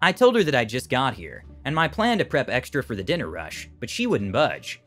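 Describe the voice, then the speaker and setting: A man's voice: medium pitch, wildly swooping pitch, brisk, fairly bright tone. A man reading a written first-person story aloud as a scripted narration. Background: background music.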